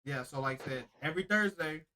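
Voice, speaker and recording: A man's voice: fairly high pitch, lively intonation, quick pace, sharp tone. A man hosting a wrestling podcast, slangy and hyped, who keeps saying "I ain't gonna lie". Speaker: podcast host. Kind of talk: casual podcast sign-off chat.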